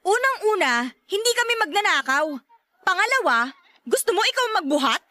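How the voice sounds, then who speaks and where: A woman's voice: very high voice, sing-song, moderate pace, pushed and bright, dry recording, clean studio sound, heavily acted. A woman playing a spirited, cheeky but sensible young friend in a Filipino radio drama, assertive, with a Filipino accent.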